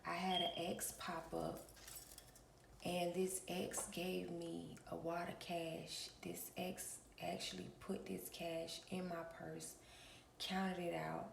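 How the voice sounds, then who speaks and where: A woman with a gravelly, just-woken voice talks in a flat, unhurried way. She speaks like a preacher to her channel's viewers and often stops to clear her throat. The room sounds echoey, with a faint hiss behind her. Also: lowish voice. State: raspy from early morning. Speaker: spiritual reader and channel host. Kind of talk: early-morning casual vlog address.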